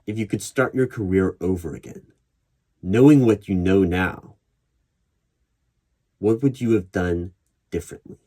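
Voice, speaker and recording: Low-voiced man asking probing interview questions. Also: measured pace, long pauses, dark tone. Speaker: interviewer in an audio roleplay. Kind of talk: ASMR roleplay of a job interview. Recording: clear background noise. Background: computer keyboard typing and clicks.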